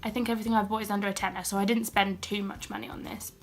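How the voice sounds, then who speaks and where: Young British woman, croaky from a cold, flat and chatty haul-vlog delivery. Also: medium pitch, racing, soft background music. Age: young adult. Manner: chatty and self-deprecating, with asides and fillers. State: has a cold, a bit croaky. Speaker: beauty and haul vlogger. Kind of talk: casual haul vlog chat.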